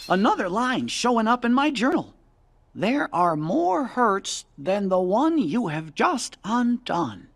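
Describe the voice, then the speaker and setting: A man voicing a small, earnest, excitable cartoon cricket in a video game, full of wonder. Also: high-pitched, slow pace, vocal fry, heavily acted. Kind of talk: animated game voice acting.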